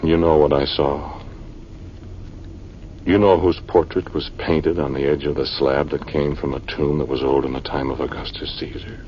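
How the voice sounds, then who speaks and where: An actor playing a level-headed archaeologist who narrates an eerie tale in measured, suspenseful 1940s radio drama style. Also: male voice, a bass voice, flat delivery. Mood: brooding, ominous. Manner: measured, brooding narration; brisk commands in dialogue. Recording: muffled, noisy 1940s radio recording.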